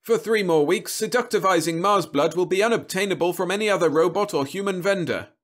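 Man voicing a smooth robot salesman, pitched high. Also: brisk, close to an everyday voice. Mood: persistent pitch. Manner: polished, winning sales patter.

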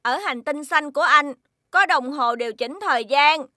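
A woman's voice: high-pitched, normal speed, pushed and bright, dry recording, studio quality. A woman voicing a curious, childlike small alien buddy in a children's cartoon, with a Southern Vietnamese accent. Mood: friendly. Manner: childlike, friendly. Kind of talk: animated children's cartoon dubbing. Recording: music behind the voice.

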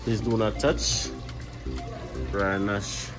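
A man's voice: on the low side, drawn-out words, music in the background. A man with a Jamaican accent, a family vlogger and father, chatting casually as he walks through a small airport. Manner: relaxed, chatty narration to camera. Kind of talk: casual family vlog walkthrough. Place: small airport terminal, indoors.

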